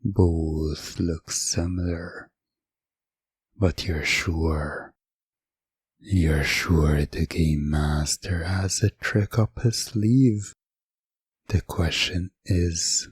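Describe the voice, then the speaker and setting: A man narrating a scripted second-person hypnosis ASMR story in a hushed, suspenseful manner. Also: low voice, drawn-out words, long pauses, dry recording, clean studio sound. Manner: hushed, breathy at times, suspenseful.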